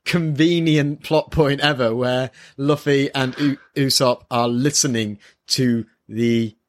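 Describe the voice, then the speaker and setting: Man, mid-pitched, thinking aloud hesitantly. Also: steady tone, slow pace. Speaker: podcast co-host, first-time manga reader. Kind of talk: casual review chat. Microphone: built-in microphone.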